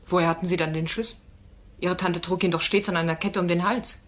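A woman's voice: on the low side, steady tone, brisk, a bit bright. A woman playing a firm, probing female defence lawyer in a crime radio play, with insistent, pointed questioning. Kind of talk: German radio drama acting.

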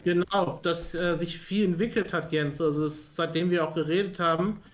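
A young man chatting casually on a livestream as a sports streamer, in an earnest, halting way. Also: on the high side, fairly even intonation. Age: young adult. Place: small home studio room, on a video call.

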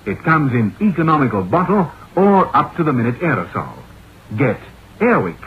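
A man's voice: on the high side, big pitch swings, normal speed, phone-quality sound. A man reading a vintage radio advertisement as a commercial announcer. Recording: thin, slightly noisy old radio recording.

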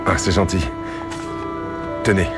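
Low-voiced, weary traveller. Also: male voice, fairly even intonation, slow pace, music in the background. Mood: tired. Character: polite, weary male traveller wanting sleep.

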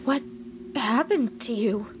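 A woman voicing a determined, take-charge teenage anime girl with a direct, expressive manner. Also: a little high, slow. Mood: shaken. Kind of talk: animated visual novel acting. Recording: music behind the voice.

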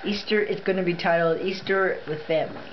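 A woman's voice: lowish voice, steady tone, brisk. A woman vlogging her family dinner, chatty and teasing. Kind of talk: casual chat. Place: home dinner table. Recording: lo-fi with background noise.

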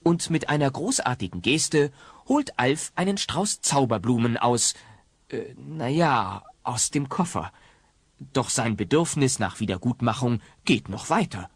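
Husky, animated male narrator. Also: medium pitch, measured pace, dry recording. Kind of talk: dramatic storybook narration.

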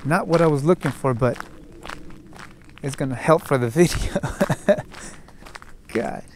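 A man's voice: mid-range voice, a little slow, a little hiss or hum. Young man vlogging outdoors, thinking aloud. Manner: thinking aloud, talking straight to viewers. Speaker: urban explorer vlogger. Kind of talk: casual vlog narration while exploring. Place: outdoors on open hillside with brush. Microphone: handheld camera at arm's length.

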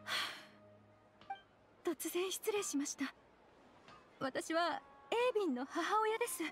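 Worried polite mom in anime game, high soft voice, bit of music behind. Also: female voice, animated, takes it slowly, full character voice. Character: anxious, gentle, polite mother of sick child. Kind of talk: Japanese anime game voice acting.

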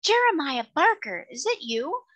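Narrator doing a posh friendly lady from a storybook, genteel woman, higher pitch, bright. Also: a little fast, lo-fi sound. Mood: pleased, gracious. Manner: genteel, courteous. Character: gracious, well-to-do lady, friendly former mistress.

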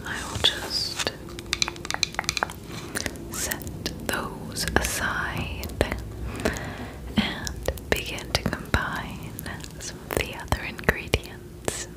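A gentle young witch, played by a woman in an ASMR roleplay, speaks in a soft, breathy whisper. Her delivery is very slow and soothing, close to the mic, as she tends to her potions. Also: rough recording. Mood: soothing, calm. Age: 20s. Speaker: ASMR performer. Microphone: close sensitive microphone.

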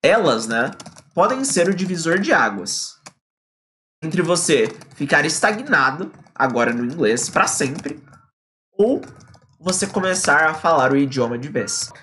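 A young man from Brazil teaches English into a close webcam, his pitch leaping up and down as he talks. He sounds energetic and eager, explaining each point to a viewer from a small indoor room. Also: a little slow, slight background noise. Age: young adult. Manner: lively and energetic, quoting a student in acted lines. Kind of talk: online English lesson in Portuguese. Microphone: webcam at close range.

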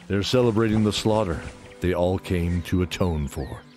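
A man with a low voice narrates a fantasy audio drama. His delivery is measured and descriptive, with music clearly playing underneath. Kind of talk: scripted fantasy story narration.

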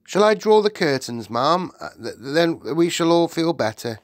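A woman's voice: deep voice, big pitch swings, normal speed, no echo, studio quality, pitched above the performer's own voice. A woman voicing a timid, deferential palace maid in an audiobook, stammering and quivering as she speaks. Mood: earnest, anxious.

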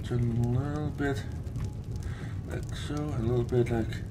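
A man who is not a native English speaker gives casual gameplay commentary, haltingly searching for words and swearing now and then. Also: medium pitch, steady tone, noisy background. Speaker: let's play host.

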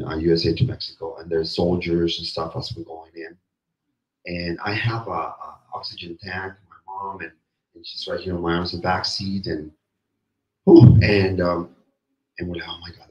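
A middle-aged man with a deep, strained voice gives halting personal testimony in a group discussion, repeating phrases for emphasis into a handheld microphone. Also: a little mellow. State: voice strained from yesterday's screaming. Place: small indoor meeting room. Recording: roomy, dull handheld-mic sound.

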